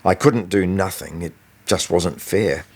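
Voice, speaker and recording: A man reading his own novel aloud as expressive, scripted audiobook narration. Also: low-pitched, a little slow.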